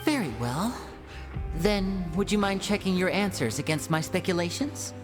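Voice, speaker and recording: Casting: woman as courteous young male strategist, low register, measured game dialogue over music. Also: expressive, lightly acted. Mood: relaxed. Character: clever, composed, polite young man, a strategist. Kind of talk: video game voice acting. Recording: music behind the voice.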